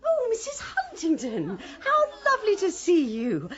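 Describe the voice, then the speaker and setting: An actress playing a nervous, polite and eager village hostess in a radio drama, gushing and fluttery. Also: female voice, extremely high pitch, wildly swooping pitch, unhurried, muffled recording, exaggerated voice.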